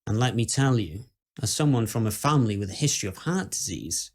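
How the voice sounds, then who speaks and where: A man's voice: lowish voice, lively intonation, fairly quick, darkish tone. A British man playing an uneasy presenter at a haunted house, in a suspenseful documentary-style ghost story.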